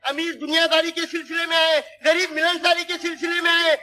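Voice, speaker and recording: A man playing an excitable, cowardly village busybody in a 1950s Hindi film comedy, chattering and pleading theatrically. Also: very high-pitched, brisk, exaggerated voice. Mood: excited protest. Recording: noisy old film soundtrack.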